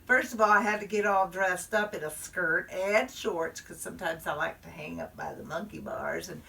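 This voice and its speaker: A woman's voice: lowish voice, moderate pace, sharp tone, recorded from a distance. A woman storyteller voicing a chatty little first-grade girl who confides in her listeners. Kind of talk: performed storytelling.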